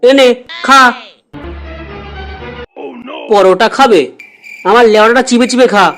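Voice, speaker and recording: A man's voice: very high-pitched, takes it slowly, a bit bright, heavily acted. A man voicing a grumpy, foul-mouthed older father in a comedy cartoon, scolding and indignant. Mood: combative. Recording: music behind.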